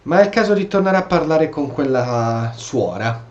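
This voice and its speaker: A man's voice: on the high side, lively intonation, moderate pace, darkish tone, highs cut off. A man giving casual, blunt, colloquial commentary while playing a video game. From Italy. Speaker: gamer, video host.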